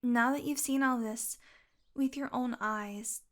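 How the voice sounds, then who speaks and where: Woman playing a high-voiced, obsessively devoted fantasy healer. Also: steady tone, slow pace. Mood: lovestruck, intense. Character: possessive female fantasy healer, secretly bloodthirsty, tenderly devoted. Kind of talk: intimate audio roleplay monologue.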